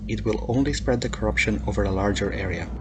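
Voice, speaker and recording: A man's voice: on the low side, fast, voice pushed down, close to an everyday voice. A man voicing a stern alien military supreme commander who delivers a formal, clipped, authoritative message in a dramatic reading. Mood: grave, commanding. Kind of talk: dramatic radio transmission reading. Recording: noisy hum behind a transmission.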